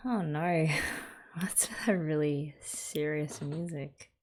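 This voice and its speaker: A woman's voice: low voice, steady tone, takes it slowly, a little mellow. A young Australian woman hosting an anime reaction video, chatting casually and giggling often as she reacts. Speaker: anime reaction channel host.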